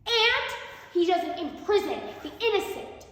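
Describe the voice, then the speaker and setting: Woman as a shrill, trembling, defiant gander. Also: animated, a little slow, a bit bright, exaggerated voice. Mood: shaky, defiant. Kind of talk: live folk tale storytelling. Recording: very echoey hall, far mic, noisy.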